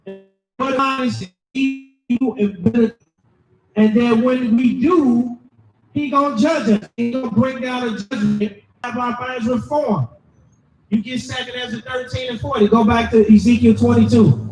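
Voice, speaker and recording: A man preaching loudly and emphatically, calling for scripture readings and explaining them to a crowd. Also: very high voice, steady tone, unhurried, echoey room, distant mic, faint music behind, low-quality recording. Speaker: street preacher. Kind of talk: shouted sermon with scripture reading.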